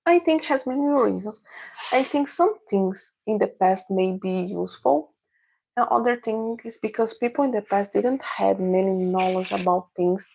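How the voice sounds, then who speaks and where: Brazilian woman with a gravelly edge. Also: medium pitch, a little mellow, poor audio. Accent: Brazilian Portuguese. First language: Portuguese. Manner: halting, searching for English words. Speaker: livestream host. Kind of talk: casual livestream Q&A, answering chat.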